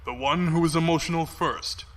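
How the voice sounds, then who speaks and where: An actor playing a cold, calculating fleet commodore in a science fiction audio drama, measured, formal and authoritative. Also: male voice, on the high side, natural voice. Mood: cold, assured.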